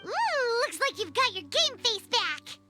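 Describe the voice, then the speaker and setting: Squeaky little fairy sidekick, super high and bouncy, talks bout herself in third person, loud game music behind. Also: female voice, sing-song, measured pace, full character voice. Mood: chirpy. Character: tiny floating female fairy companion, chirpy and bossy. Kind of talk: video game dialogue.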